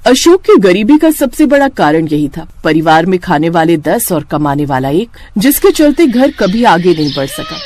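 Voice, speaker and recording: उतार-चढ़ाव से कहानी सुनाती महिला. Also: female voice, fairly low pitch, big pitch swings, normal speed, hardly any pauses, darkish tone, no room sound. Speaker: storyteller. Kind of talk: scripted animated storytelling narration.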